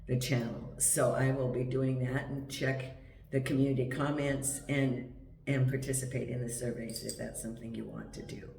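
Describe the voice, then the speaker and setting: Croaky man reading tarot. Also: medium pitch, a little mellow, distant mic. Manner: chatty, meandering, with many ums. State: croaky from a cold. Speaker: tarot reader. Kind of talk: casual tarot reading to camera. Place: home in Portugal. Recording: echoey room, voice set back from the mic, faint hiss.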